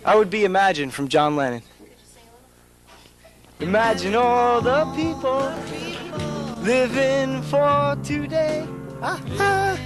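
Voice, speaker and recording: A teenage boy with a fairly high voice jokes and breaks into bits of songs. Music plays behind him on old camcorder tape. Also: lively intonation, takes it slowly, pauses often. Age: teens. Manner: joking, singing snatches of songs. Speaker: student. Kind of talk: casual yearbook video interview.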